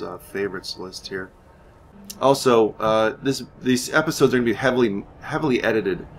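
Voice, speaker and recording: A man's voice: on the low side, a bit bright, a little hiss or hum. A man hosting a gaming series, talking informally as he explains his roleplay playthrough and tells its story. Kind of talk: roleplay let's play commentary with story narration.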